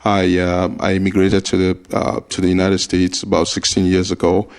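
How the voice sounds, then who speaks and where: A man from Cameroon in his forties, an immigration attorney answering interview questions in a measured way with frequent hesitations. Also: low voice, clear, resonant tone. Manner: measured, steady, with frequent uh fillers.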